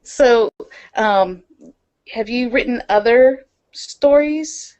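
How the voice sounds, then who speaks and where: Higher-pitched woman on slightly dull audio. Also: very slow, a little mellow. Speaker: podcast co-host. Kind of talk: casual interview chat.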